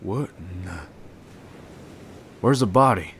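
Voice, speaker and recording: A man's voice: sits low, animated, slow, a little hiss or hum. An actor playing a startled but practical man among vampire hunters in an audio drama. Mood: alarmed. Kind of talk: audio drama acting, period setting.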